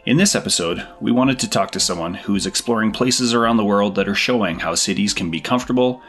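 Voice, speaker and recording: A man hosting a podcast, reading a scripted intro in a measured, even way and asking interview questions. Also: lowish voice, faint music behind. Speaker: podcast host.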